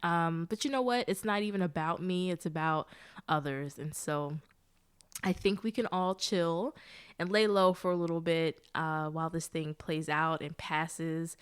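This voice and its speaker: A woman's voice: deep voice, a little slow, smooth. Nasal, congested woman podcast host. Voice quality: nasal from allergies. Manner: chatty and friendly, laughs often, sisterly asides. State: congested, blocked sinuses. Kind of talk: casual solo podcast chat.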